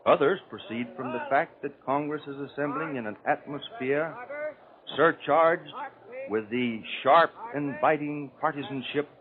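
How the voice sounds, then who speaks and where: An American man giving formal political commentary on 1930s radio, speaking in measured, emphatic, oratorical phrases. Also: on the high side. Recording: old 1930s radio broadcast, narrow tinny band.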